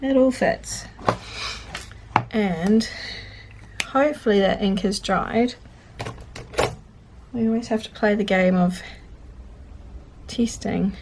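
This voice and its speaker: A woman's voice: mid-range voice, takes it slowly, pauses often. A woman who is a bit congested chats in a rambling way while she fills in a journal, stopping to correct herself and talk to herself as she works. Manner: rambling, self-interrupting, talks to herself while working. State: congested, sniffly. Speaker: journaling vlogger. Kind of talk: chatty real-time journaling commentary. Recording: faint clicking and background noise.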